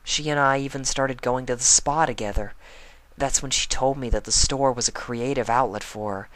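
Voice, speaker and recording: A man voicing a shy, gentle young female pegasus pony in a soft, hushed, breathy voice for a cartoon fan story. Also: mid-range voice, steady tone, normal speed, no room sound, natural voice, poor audio. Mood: soft, reflective.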